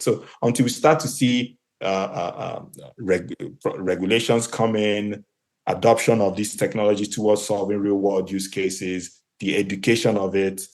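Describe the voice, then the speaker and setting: Low-voiced Nigerian-born man, thoughtful. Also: a little mellow. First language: Igbo. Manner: thoughtful, many fillers and restarts. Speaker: guest, marketplace co-founder and CEO. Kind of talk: podcast interview answer.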